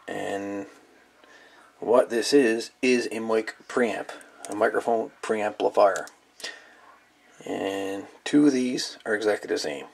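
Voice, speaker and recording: A man giving a casual, folksy hands-on demonstration as a hobbyist, musing and pausing as he goes, recorded indoors at a workbench. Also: fairly low pitch, gravelly. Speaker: hobbyist radio video maker. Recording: light background noise, clicks of handled parts.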